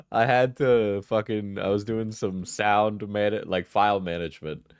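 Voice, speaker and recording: A man's voice: on the low side, steady tone, slow pace, clean tone, no echo, clean studio sound. A sleepy man livestreaming, chatting casually and rambling, laughing and swearing often. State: sleepy, just out of bed.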